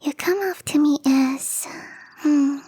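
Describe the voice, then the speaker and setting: A woman playing a shy, playful young catgirl gardener in an intimate ASMR roleplay, speaking softly and hesitantly in a breathy half-whisper. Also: sits high, slow, poor audio. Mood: curious, teasing. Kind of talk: ASMR roleplay, intimate one-sided dialogue.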